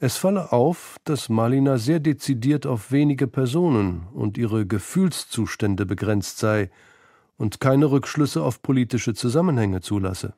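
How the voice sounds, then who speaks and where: A man's voice: medium pitch, expressive, unhurried, mellow, no room sound, clean studio sound. A man reading scripted narration as the narrator of a literary feature.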